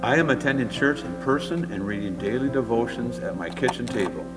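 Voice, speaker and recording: A man's voice: mid-range voice, animated, fairly quick. A man reading written church testimonials aloud as a member of a team. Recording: echoey hall, distant mic, loud guitar music. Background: loud plucked guitar music.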